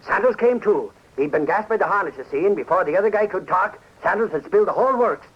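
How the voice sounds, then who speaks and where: Excitable middle-aged newshound, raspy high voice, swooping delivery, lo-fi 1940s radio. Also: male voice, fast. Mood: excited. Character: excitable, blustering Irish newspaperman, male, middle-aged. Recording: dull, lo-fi 1940s radio recording.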